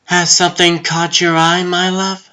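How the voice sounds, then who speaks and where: Woman as a gentle, unhurried queen consort. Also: fairly low pitch, level tone, clear, resonant tone. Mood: tender, curious. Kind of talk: comic book dub, scripted dialogue.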